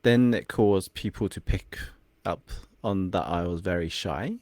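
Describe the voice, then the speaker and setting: A man's voice: deep voice, a little slow, darkish tone, no echo. A young man from England whose first language is Cantonese, a confidence coach speaking reflectively as a podcast guest, sometimes searching for words. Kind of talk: podcast interview.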